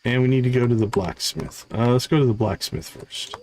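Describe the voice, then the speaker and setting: Male gaming host, medium pitch, casual live commentary that lists and counts items, slightly noisy recording. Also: brisk, a little mellow. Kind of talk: casual gameplay commentary, talking through tasks.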